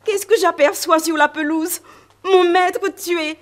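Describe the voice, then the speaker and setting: A plain, fretful housemaid with a high voice, radio play. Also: female voice, fairly even intonation, a little slow. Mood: telling. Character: simple pious female housemaid, fretful. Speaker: servant witness. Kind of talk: 1950s radio drama acting.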